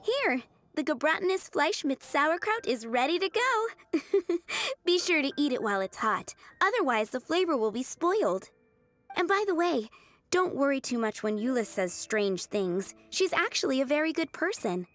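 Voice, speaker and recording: A woman playing a kind, earnest young restaurant server in a video game, gentle, measured and polite. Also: sits high, big pitch swings. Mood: cheerful.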